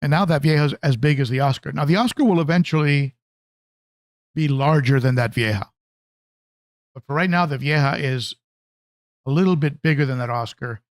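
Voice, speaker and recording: A man hosts a relaxed, informal livestream in a slightly high voice. He speaks conversationally and a bit haltingly, doubling back on his phrases as he responds to viewers. The sound is very dry, with no room echo. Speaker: livestream host, aquarium hobbyist. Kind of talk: casual livestream chat, answering viewer comments.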